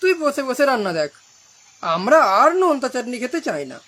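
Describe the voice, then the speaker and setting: A woman's voice: fairly high pitch, very animated, a little fast, a bit bright. A woman voicing a bossy young female friend in a cartoon who scolds the others. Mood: scolding. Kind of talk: cartoon voice acting.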